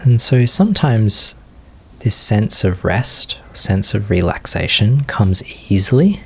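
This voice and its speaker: A man leading a guided meditation as a teacher, giving unscripted, gentle instruction in short phrases with long pauses. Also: fairly low pitch, big pitch swings, creaky, breathy edge.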